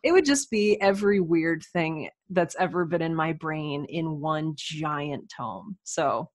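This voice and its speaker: Woman on a podcast interview, lowish voice, chatty and keen, really informal. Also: a little slow. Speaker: podcast guest, writer and audio drama producer. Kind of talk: casual interview chat.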